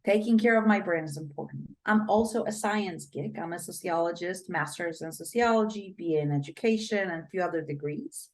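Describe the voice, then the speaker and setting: A middle-aged woman from Poland, a brain coach giving an upbeat, expressive podcast interview as the guest. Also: lowish voice, fairly quick, few pauses, rough recording.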